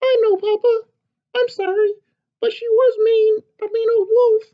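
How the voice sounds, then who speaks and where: A woman voicing an eager, excitable little girl chicken in a storybook read-aloud, squeaky and pleading. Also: unhurried, lo-fi sound. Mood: apologetic, pleading. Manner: squeaky, exclaiming, childlike.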